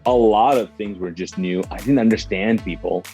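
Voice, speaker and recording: A man's voice: fairly low pitch, normal speed, dark tone, slightly rough, faint music behind. A middle-aged man from Kuwait, a podcast guest telling personal stories conversationally, with asides and self-corrections.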